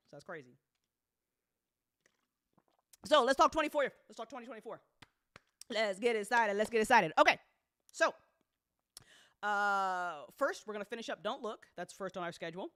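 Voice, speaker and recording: A woman's voice: lowish voice, fairly bright tone, dry recording, clean studio sound. A woman talking casually and off the cuff as an audio drama producer updating fans, candid, colloquial and full of swearing, emphatic when she makes a point.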